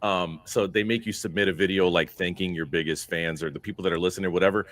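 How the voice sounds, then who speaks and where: A man appearing as a guest musician on a casual livestream, blunt and quick with asides, swearing freely. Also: deep voice, fairly even intonation, fairly bright tone.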